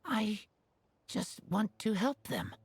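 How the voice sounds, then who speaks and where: A woman voicing an earnest, loyal wolf-raised teenage boy in a video game, with husky, halting, simple speech. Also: mid-range voice, huge pitch swings, dry recording, heavily acted. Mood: sincere.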